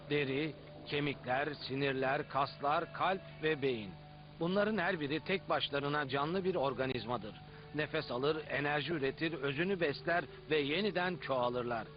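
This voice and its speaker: A man's voice: a little high, natural voice. A man playing the patient, explanatory storyteller-narrator of an educational cartoon. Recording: muffled audio with music behind.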